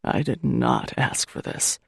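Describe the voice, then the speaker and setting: A woman narrator voicing a gruff, exhausted man with a scratchy, hoarse voice who barks and growls his lines. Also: a bass voice, moderate pace, no room sound, clean studio sound, voice pushed down, full character voice. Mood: growling. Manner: barking, growling retorts. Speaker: audiobook narrator.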